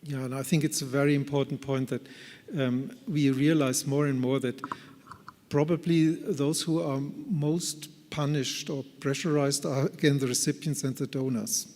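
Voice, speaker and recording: A man answering questions as a speaker at a conference, in an earnest, deliberate and carefully hedged way. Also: medium pitch, steady tone, darkish tone. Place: large conference hall. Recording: reverberant conference hall.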